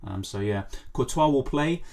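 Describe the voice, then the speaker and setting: A man with a hoarse, lost voice, casually chatting on a live stream and answering viewer questions over a faulty microphone. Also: mid-range voice, expressive, normal speed, darkish tone. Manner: apologetic, rambling, answers chat questions. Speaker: fantasy football streamer. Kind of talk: casual live stream chat, reading comments.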